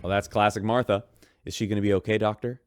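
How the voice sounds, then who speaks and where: A man's voice: fairly low pitch, level tone, fast talker, smooth, no room sound, clean studio sound, natural voice. A man playing a wry, chatty radio host aboard a spaceship, reading news in a scripted sci-fi audio drama. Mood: wry, upbeat.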